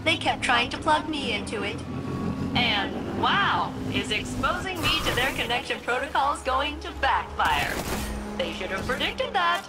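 A woman's voice: high-pitched, big pitch swings, measured pace. A woman playing a quirky, chatty female ship AI in a video game, darkly blunt, slipping between formal phrasing and flippant asides. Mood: chipper, blunt. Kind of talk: video game character dialogue. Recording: game music behind the voice.

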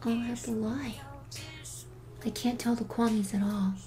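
A woman playing an anxious, conscientious teenage superheroine in an audio drama, hesitant and breathy, often dropping toward a whisper. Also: fairly high pitch, steady tone, measured pace, warm tone, lightly acted. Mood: worried. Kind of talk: fan audio drama acting. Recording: music under the voice.

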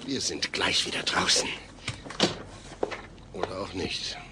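A man's voice: low voice, slow, very noisy. An actor playing an earnest, easily flustered middle-aged family man in a German radio play. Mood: urgent, bluffing. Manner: earnest, faltering when bluffing. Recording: loud music bed behind the voice.

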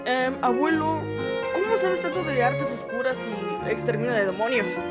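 Una mujer que interpreta con tono exaltado y de exclamaciones en un doblaje de fans de caricatura. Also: female voice, sits high, normal speed. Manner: excitable, exclaiming. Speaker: fan dub voice actor. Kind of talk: cartoon fan dub acting. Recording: music playing behind.

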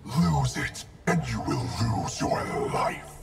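An arrogant, menacing video game wraith back from death. The voice is a slow, gravelly growl with a ghostly treatment. It sits distant under loud music. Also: male voice, mid-range voice, big pitch swings, full character voice. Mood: arrogant defiance. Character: menacing undead male wraith assassin, cold and arrogant. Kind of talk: video game fight intro dialogue.

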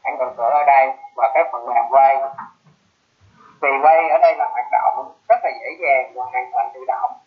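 A man explains a technical product as a webinar presenter, speaking at a middling pitch. His delivery is halting, with breaks in the middle of phrases. The sound is thin and band-limited, like a phone line on an online conference call. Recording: muffled phone-like web call audio.

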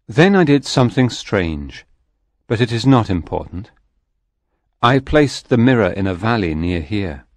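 A man voicing a grave, deep-voiced soul. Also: a little mellow, no room sound. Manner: slow, grave storytelling delivery. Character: a man's soul, spirit, stern and solemn. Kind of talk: audiobook reading.

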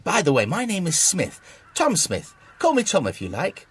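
Casting: shifty British gent for children's audio play, over-polite, exclaiming, hugely animated pitch, slightly husky. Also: male voice, normal speed, heavily acted. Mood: affably curious. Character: shifty man posing as a friendly bird lover. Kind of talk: children's audio dramatisation acting.

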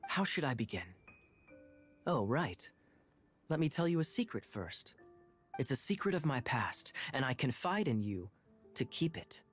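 Smooth sly knight captain, teasing and theatrical, mid pitch, video game. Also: male voice, sing-song, measured pace, pauses often, soft background music. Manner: smooth, theatrical, playfully mocking. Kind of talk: game cutscene dialogue.

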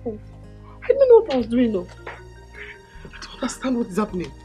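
Erratic young nigerian daughter, high swoopy voice, pleading over and over, film drama. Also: female voice, wildly swooping pitch, normal speed, music in the background. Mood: frightened insistence. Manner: repetitive, pleading. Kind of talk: melodramatic film acting.

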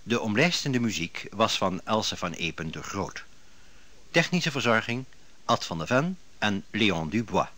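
Male radio announcer, low pitch, lively intonation, formal scripted credits. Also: unhurried.

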